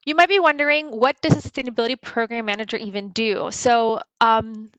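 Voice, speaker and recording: A woman's voice: a little high, fairly bright tone, no echo, poor audio. A woman in her thirties from Costa Rica, giving a friendly webinar presentation with frequent fillers.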